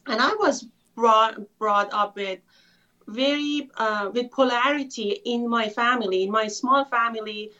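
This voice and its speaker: A woman from Iran, an author telling her life story in an interview, thoughtful with frequent pauses. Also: on the high side, sharp tone. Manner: thoughtful, many filler pauses. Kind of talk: conversational storytelling. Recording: muffled lo-fi audio.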